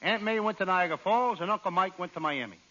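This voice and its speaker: A man playing a naive, excitable comic in a 1940s radio comedy, sputtering and childlike. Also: high voice, fast. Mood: eager. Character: naive excitable man, high-voiced and whiny. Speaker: comedian in a radio double act. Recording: dull old radio transcription.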